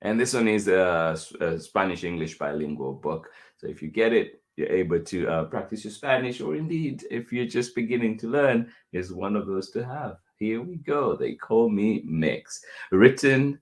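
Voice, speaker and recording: A man hosting a children's story time livestream, effusively greeting and thanking his listeners in an unhurried, halting delivery. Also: on the low side, lively intonation. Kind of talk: live show hosting, greeting viewers.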